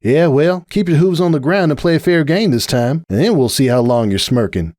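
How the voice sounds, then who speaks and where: A man's voice: fairly high pitch, expressive, a little fast, a little mellow, no room sound, studio quality, voice pushed up, lightly acted. A man voicing a cocky, teasing female pony athlete with folksy, taunting talk in a story reading. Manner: taunting, folksy informal speech.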